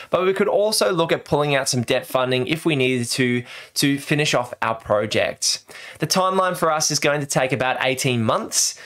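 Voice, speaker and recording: A man's voice: on the high side, a bit bright. An Australian man in his thirties explaining things as a vlog host, speaking into a close desk microphone in a small room at home. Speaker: host of a home renovation video series. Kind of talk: explanatory vlog talk to camera. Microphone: desk microphone close to mouth.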